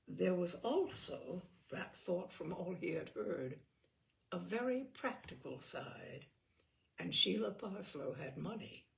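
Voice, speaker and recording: A woman reading literary fiction aloud as an audiobook narrator, in an expressive, measured and articulate way. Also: fairly low pitch, vocal fry.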